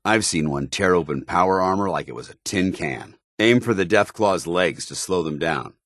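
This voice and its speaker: An actor playing a stern, zealous soldier companion in a video game, with an American accent and a formal, earnest military delivery. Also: male voice, deep voice, expressive, normal speed, fairly bright tone, no room sound. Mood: grim.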